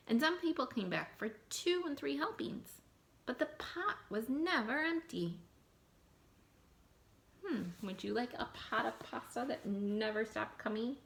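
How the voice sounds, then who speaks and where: A woman reading a picture book aloud to children in an animated, expressive way, adding asides and questions in mock surprise. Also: on the high side, vocal fry. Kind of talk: animated read-aloud storytelling with asides to young listeners.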